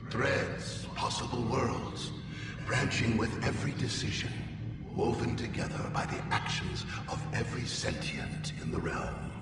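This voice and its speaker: A man voicing an ancient otherworldly being in a video game, speaking formally and cryptically, like one patiently lecturing from on high. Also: steady tone, unhurried, natural voice. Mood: lofty, instructive. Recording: very echoey hall, distant, loud music.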